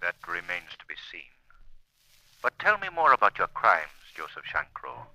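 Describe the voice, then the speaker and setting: An actor playing a composed, commanding, mysterious crime fighter in an old-time radio drama, with measured, dramatic delivery. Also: male voice, deep voice, huge pitch swings, bright, forceful tone, heavily acted, rough recording. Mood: calm, commanding.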